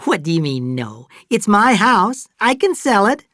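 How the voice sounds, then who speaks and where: A woman's voice: mid-range voice, big pitch swings, unhurried, no echo, clean studio sound, pitched above the performer's own voice. A woman voicing an emotional, doting older mother in a storybook, gushing and insistent as she talks. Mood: indignant, upset. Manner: gushing, exclamatory. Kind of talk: dramatised audiobook dialogue.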